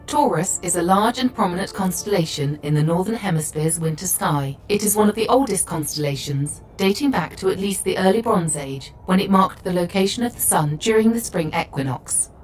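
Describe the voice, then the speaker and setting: A woman's voice: fairly low pitch, animated, brisk, hardly any pauses, soft background music, close to an everyday voice, poor audio. A woman voicing an encyclopedic female spaceship AI, composed and precise, giving scripted explanations. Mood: composed, informative.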